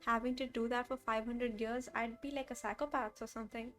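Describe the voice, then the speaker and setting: A woman's voice: fairly high pitch, fairly even intonation, a little fast, a bit bright. A young woman casually reacting aloud as she streams a game. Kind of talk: casual live reaction commentary.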